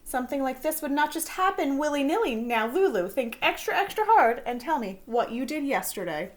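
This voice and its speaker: School nurse, acted by a female storyteller: bossy, brisk, emphatic, high pitch, very animated storybook read. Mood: probing. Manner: emphatic, rhyming slang.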